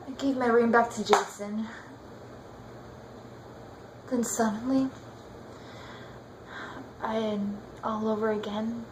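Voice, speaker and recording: A young woman performing a wistful, bitter jilted fiancée in an amateur stage monologue, with halting dramatic pauses. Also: on the high side, noisy background. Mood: humiliated, desolate. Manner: halting, dramatic pauses, repeating words for weight.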